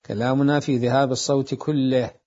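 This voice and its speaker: Male lecturer, mid-pitched, methodical and repetitive delivery; muffled lo-fi audio. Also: flat delivery, darkish tone. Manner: deliberate, repeats phrases to explain. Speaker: seminary jurisprudence teacher. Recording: dull lo-fi lecture recording.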